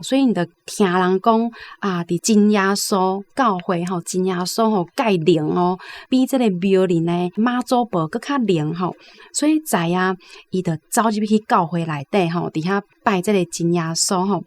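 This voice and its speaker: A woman's voice: mid-range voice, moderate pace, a little mellow. A woman with a Taiwanese accent giving chatty, conversational commentary on a story as a guest.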